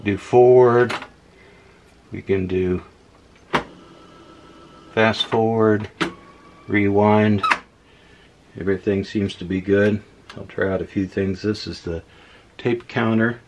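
A man casually demonstrating old equipment at a workbench, unhurried and thinking aloud with many fillers. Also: lowish voice, steady tone, darkish tone, highs cut off, slight background noise. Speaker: electronics hobbyist host. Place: workbench room indoors.

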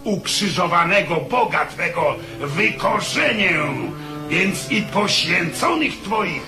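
Menacing sultan, slow and echoing. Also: male voice, high-pitched, lively intonation. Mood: menacing, wrathful. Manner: threatening, declamatory. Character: menacing Ottoman sultan proclaiming war on a king. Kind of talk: radio drama acting. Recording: echoing, with music behind.

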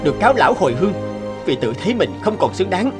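A man voicing a humble, remorseful mandarin official in an animated folk tale. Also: high-pitched, racing. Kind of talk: Vietnamese cartoon dubbing. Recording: music under the voice.

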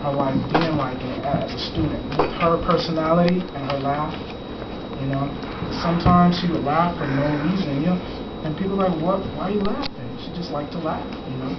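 A man reading a picture book aloud to children as its author, conversationally, pausing to explain the pictures and ask questions. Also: a little high, highs cut off. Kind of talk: storybook read-aloud with casual asides. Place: school classroom, indoors. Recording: echoey, noisy classroom, far from mic. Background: clear room noise with children present.

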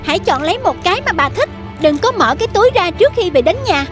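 Woman doing a very high little sparrow. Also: big pitch swings, quick pace. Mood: gracious. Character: small grateful sparrow, gentle and regal. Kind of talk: children's fairy-tale cartoon. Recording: music behind the voice.

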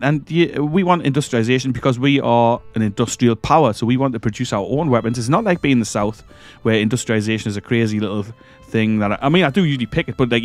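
Man, croaky with a cold, gaming commentator, casual and stumbling, faint background music. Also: medium pitch, a little mellow. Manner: rambling, hesitant, self-correcting. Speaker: gaming channel host. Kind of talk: casual let's-play commentary.

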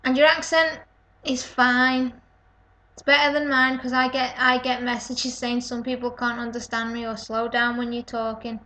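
A woman's voice: fairly high pitch, level tone, normal speed, sharp tone, poor audio. Tired, rambling Lancashire-accented young woman. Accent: Lancashire-type northern English. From North West England. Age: 20s. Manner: rambling, self-deprecating. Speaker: vlogger. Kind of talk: casual webcam video response. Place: kitchen at home, indoors. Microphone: webcam built-in mic.

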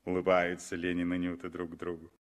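A man narrating a 1960s radio drama with dramatic, deliberately phrased storytelling. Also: deep voice, completely flat delivery.

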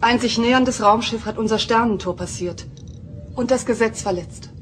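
A woman as a stately, higher-voiced planetary leader. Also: normal speed, a little hiss or hum, lightly acted. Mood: grave, formal. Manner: stately, formal. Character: formal, grave female planetary leader. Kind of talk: dubbed sci-fi audio drama acting.

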